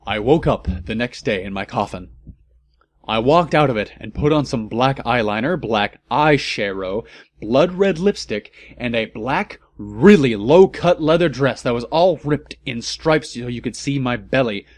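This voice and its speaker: A man's voice: mid-range voice, lively intonation, measured pace, no echo. A man voicing an angsty, self-absorbed teenage goth girl in a reading of amateur fan fiction. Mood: self-absorbed, brooding.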